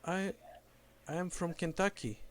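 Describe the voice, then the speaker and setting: A man on a prank phone call, speaking slowly and deliberately, overly polite, acting confused. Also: high-pitched. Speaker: scambaiter posing as a caller.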